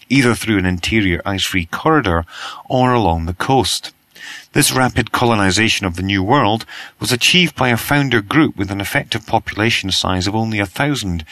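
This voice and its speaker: A British man hosting a news podcast, reading the bulletin in a croaky voice from a cold and spelling out web addresses slowly. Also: on the low side, sharp tone, no room sound. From Britain.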